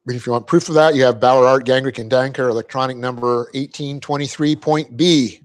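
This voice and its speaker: A man teaching a Bible class, explaining Greek grammar step by step, spelling out words and clearing his throat often. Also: medium pitch, fairly even intonation, brisk. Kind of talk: verse-by-verse Bible class lecture.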